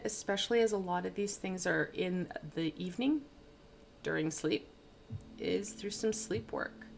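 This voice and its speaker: Brief: a mid-pitched woman doing a chatty tarot stream, stumbling and joking about her slips, on a plug-in mic. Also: creaky, a little hiss or hum. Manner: rambling, stumbling, self-deprecating. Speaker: tarot reader. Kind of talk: casual livestream tarot reading. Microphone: plug-in studio microphone.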